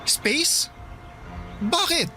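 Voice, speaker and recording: A man's voice: very high-pitched, expressive, drawn-out words, sharp tone, faint music behind, heavily acted. A man playing a clingy, earnest boyfriend in a radio drama, pleading and worried. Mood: hurt, pleading. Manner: pleading, stammering when upset. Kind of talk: Tagalog radio drama acting.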